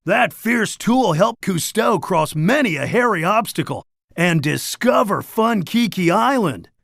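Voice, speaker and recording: Excitable high-pitched surfer dude cartoon critter. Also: male voice, unhurried, vocal fry, dry recording, studio quality, exaggerated voice. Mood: cool, playful. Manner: surfer slang, exaggerated laid-back enthusiasm. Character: laid-back upbeat surfer dude cartoon creature, boastful beach guide. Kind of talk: animated game character dialogue.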